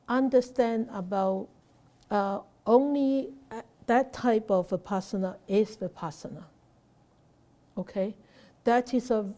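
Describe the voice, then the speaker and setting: A woman Buddhist teacher answering a student's question off the cuff, halting with frequent fillers and restarts and posing rhetorical questions. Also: mid-range voice, mellow. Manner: hesitant, many fillers and restarts.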